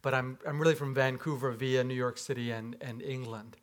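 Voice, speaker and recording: A man's voice: medium pitch, fairly even intonation, brisk. A man from Japan, a pastor, giving a conversational sermon with rhetorical questions and light humor in a church. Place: church sanctuary.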